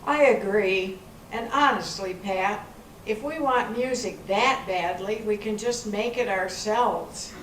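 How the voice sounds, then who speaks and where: Woman playing agreeable older lady; unhurried amateur stage comedy, reverberant room. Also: mid-range voice, lightly acted. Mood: mild, agreeable. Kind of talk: amateur readers theater comedy. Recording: echoey room, distant from mic, faint noise.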